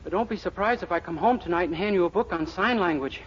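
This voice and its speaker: A man acting a naive young American suitor from a vintage radio sitcom. He sounds earnest, diffident and innocent. The old recording is dull with clear noise behind him. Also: high-pitched, fast, natural voice. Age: young adult. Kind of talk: 1940s radio comedy acting.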